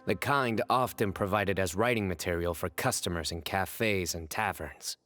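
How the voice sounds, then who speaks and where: Young male game detective, low voice, stern, measured, authoritative, faint music. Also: pushed and bright. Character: stern, composed male chief investigator, young adult. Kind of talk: video game voice acting.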